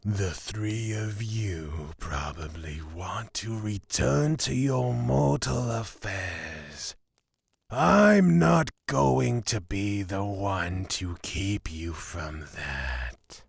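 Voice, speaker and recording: A man acting a grim, menacing god of fear in a fantasy audio drama, with a gravelly, deliberate delivery. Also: lowish voice, lively intonation, no echo, clean studio sound, exaggerated voice. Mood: wry. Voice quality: gravelly, raspy. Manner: slow, deliberate, ominous.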